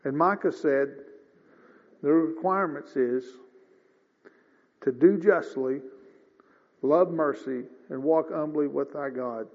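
Slow-preaching man in his fifties, high voice. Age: 50s. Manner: folksy, conversational with throat clearing. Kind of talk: sermon. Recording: dull lo-fi sound with long reverb.

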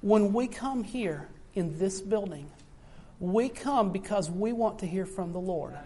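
High-voiced man preaching earnestly. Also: animated, unhurried, warm tone, breathy edge. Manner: earnest, repetitive, often addresses listeners as folks. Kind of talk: sermon.

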